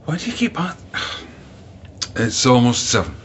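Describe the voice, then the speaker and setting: Lively-pitched, concerned man. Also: moderate pace, muffled recording, slight background noise. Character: concerned male companion waiting for news. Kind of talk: radio drama acting.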